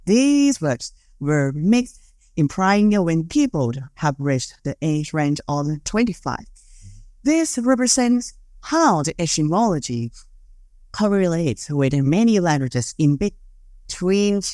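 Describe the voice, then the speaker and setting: A Thai-accented teen reads a podcast script with a lively delivery. The pitch swings widely. The recording is dry and close. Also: slow pace, no room sound. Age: late teens. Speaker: co-host.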